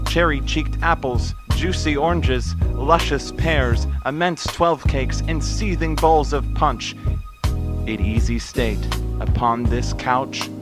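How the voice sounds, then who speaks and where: A man plays the narrator of a radio drama. He reads slowly at a middling pitch, in a measured, descriptive storytelling manner, with music clearly behind him. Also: natural voice. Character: storyteller narrating a Christmas tale. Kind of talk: scripted radio play narration.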